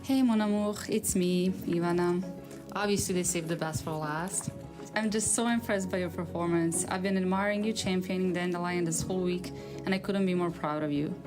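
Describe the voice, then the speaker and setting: A woman giving her spouse a tender, encouraging recorded message. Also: fairly low pitch.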